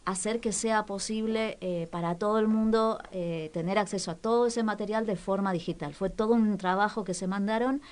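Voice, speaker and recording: A woman presenting cultural recommendations on the radio in a conversational, halting way, repeating words for emphasis. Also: medium pitch, darkish tone.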